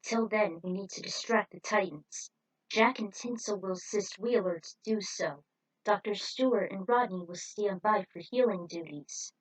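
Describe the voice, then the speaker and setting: Woman reading as a space squad leader, husky, firm, decisive, authoritative, storybook adventure orders. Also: medium pitch, moderate pace, distant mic, lightly acted, rough recording. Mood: resolute.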